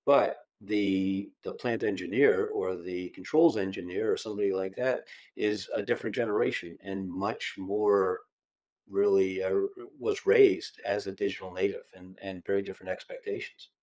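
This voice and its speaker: Low-voiced podcast host in his fifties. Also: moderate pace, no room sound, poor audio. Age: 50s. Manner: animated, wry, mimics clients with rising pitch. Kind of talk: conversational interview.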